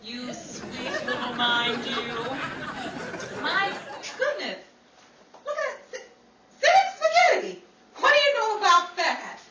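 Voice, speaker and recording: A woman's voice: very high voice, very animated, slow, long pauses, exaggerated voice. A woman playing a sassy, wisecracking 1930s New York actress, theatrical and wordy, in a screwball radio comedy style. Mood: amazed, wisecracking. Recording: echoey, distant, noisy live room with crowd.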